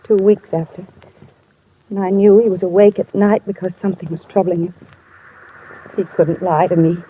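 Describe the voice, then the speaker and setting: An actress playing a distraught but dignified widow in an old-time radio drama, speaking with halting, restrained emotion. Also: female voice, on the low side, flat delivery, natural voice. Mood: subdued, sorrowful. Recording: dull, noisy vintage radio recording.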